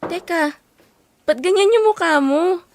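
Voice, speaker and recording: A woman's voice: very high voice, unhurried, creaky, slight background noise, full character voice. An actress playing a sweet, trusting young girlfriend in an audio drama. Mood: affectionate.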